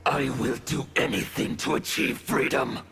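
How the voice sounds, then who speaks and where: An actor voicing a fanatical, cruel anarchist villain in a video game, theatrical, gravelly and menacing. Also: male voice, mid-range voice, steady tone, normal speed, fairly bright tone. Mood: fanatical menace.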